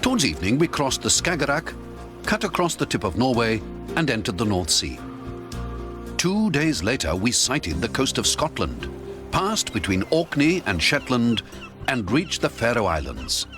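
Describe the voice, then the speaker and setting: A man giving dramatic scripted narration of a story, with an expressive, theatrical delivery. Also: medium pitch, moderate pace, over music.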